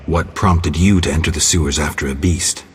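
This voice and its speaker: An actor playing a gruff, laconic monster-hunting mercenary in a video game, speaking tersely and dryly with a gravelly, husky voice. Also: male voice, very deep voice, moderate pace, heavily acted. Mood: deadpan. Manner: terse, dry, deadpan. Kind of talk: video game character acting.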